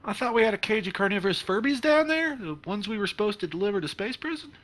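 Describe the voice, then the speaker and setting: A man's voice: sits high, expressive, quick pace, a bit bright. A man playing an amiable, practical starship engineer, conversational in a sci-fi comedy play. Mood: puzzled.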